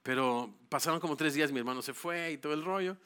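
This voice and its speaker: A man's voice: on the high side, fast talker, bright, forceful tone, clear, resonant tone. A middle-aged man, a pastor giving a conversational sermon, stumbling over and repeating words. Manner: conversational, repeats words, calls listeners bro.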